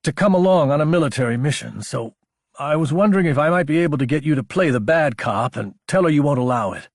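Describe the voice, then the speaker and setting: Man playing an earnest, anxious young officer, husky. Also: a little high, animated, quick pace, dry recording, clean studio sound, pitched above the performer's own voice. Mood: awkward, earnest.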